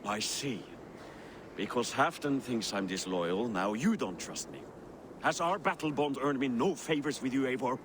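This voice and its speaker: A proud Viking war leader, played by a male actor, ambitious and persuasive, with a widely moving, mid-pitched voice. He declaims like a character in a dramatic game cutscene, over clearly noisy background. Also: expressive, moderate pace, natural voice. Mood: wounded.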